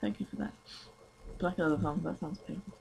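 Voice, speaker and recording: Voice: mid-range voice, a little fast, creaky, crowd noise, low-quality recording. A person giving a casual, comic dramatic reading of a story, chatting along and often breaking into giggles. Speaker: reader of a fan fiction story.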